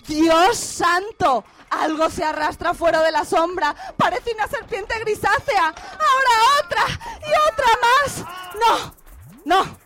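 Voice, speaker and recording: A very high-pitched female radio reporter , played by an actress, exclaims in horror as she describes what she sees. Her delivery is halting, breathless and melodramatic, in the manner of a parody radio play news flash. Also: fairly bright tone, full character voice. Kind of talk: radio drama parody of a live news bulletin.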